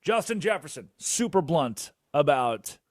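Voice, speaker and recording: A young man hosting a sports radio show, animated and jokey, rambling through asides in a studio. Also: on the high side, unhurried, no room sound. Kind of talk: energetic sports talk radio. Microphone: studio microphone.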